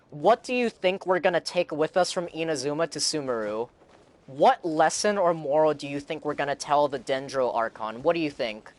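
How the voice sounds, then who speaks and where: A man livestreaming a game, chatting casually and rambling as he muses aloud to his viewers. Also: a little high. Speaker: game streamer. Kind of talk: casual livestream chat while playing.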